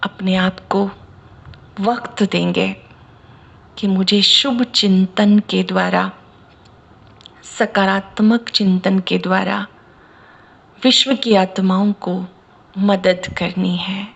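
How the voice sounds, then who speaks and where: A woman from India leading a guided meditation as a spiritual teacher, speaking in measured, deliberate short phrases with pauses. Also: mid-range voice, fairly even intonation, vocal fry, breathy edge, muffled recording. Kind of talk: slow guided meditation discourse.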